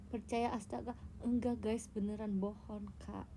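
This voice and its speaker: A woman's voice: medium pitch, flat delivery, measured pace, dark tone, a little hiss or hum. A young Indonesian woman chatting casually and teasingly with her viewers on a phone livestream. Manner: playful, teasing, tells tall tales. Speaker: idol livestreamer.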